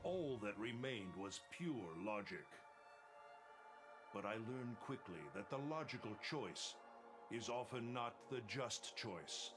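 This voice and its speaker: An actor playing a wise, humbled god in a video game, delivering a grave, formal, contemplative monologue. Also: male voice, medium pitch, animated, slow pace. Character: wise, humbled male thunder god, solemn. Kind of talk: dramatic game ending monologue. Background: music.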